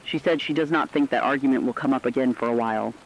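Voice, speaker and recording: A man reading a scripted human-interest news report in a plain, measured storytelling manner. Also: medium pitch, slight background noise, rough recording. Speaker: radio feature reporter.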